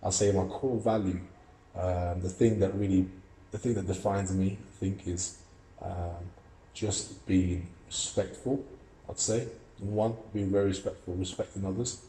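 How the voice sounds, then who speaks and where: Young guy from russia, flat steady delivery, lots of ums, roomy echo with faint background hum. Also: low voice, unhurried. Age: young adult. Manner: earnest, reflective, many fillers and restarts. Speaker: amateur boxer and university student. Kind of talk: interview answers.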